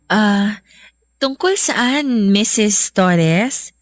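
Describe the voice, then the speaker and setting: Earnest, guarded young schoolteacher. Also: female voice, medium pitch, expressive, very slow, dry recording, clean studio sound. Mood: defensive, hesitant. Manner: polite, formal, restrained. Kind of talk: radio drama acting.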